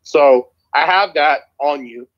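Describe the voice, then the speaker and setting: A man's voice: fairly high pitch, takes it slowly, muffled recording. A man arguing combatively, swearing and repeating his claims insistently. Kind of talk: heated online argument.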